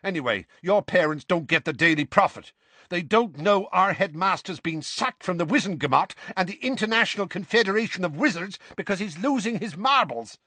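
A heated, accusing teenage schoolboy as a man acts him out in an audiobook. The voice leaps well above a grown man's pitch and swings widely, snapping out each retort. The sound is close and dry. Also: lively intonation, fairly quick, pushed and bright, no room sound, full character voice. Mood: snappish, scornful. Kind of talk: audiobook character voice.

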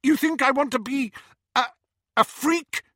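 Storybook role: spiteful older-sister girl, played by a man, high, piercing, indignant, very dry close sound. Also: a little slow, pushed and bright, dry recording, exaggerated voice. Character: bitter, scornful young girl, jealous older sister. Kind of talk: audiobook character reading.

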